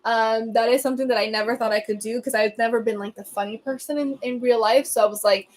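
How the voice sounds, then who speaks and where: A young woman from Venezuela, a native Spanish speaker, hosting a livestream and chatting casually as she answers comments, laughing often and filling pauses with um. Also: a little high, steady tone. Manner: chatty, laughs often, many ums and restarts. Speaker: livestream host.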